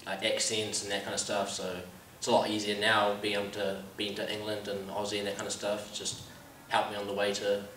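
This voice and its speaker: A man's voice: on the low side, completely flat delivery, a little fast, few pauses, a bit bright, a little hiss or hum. A young man from New Zealand with a New Zealand accent, a college soccer player giving upbeat interview answers that often repeat "definitely". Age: young adult. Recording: echoey room, mic far off.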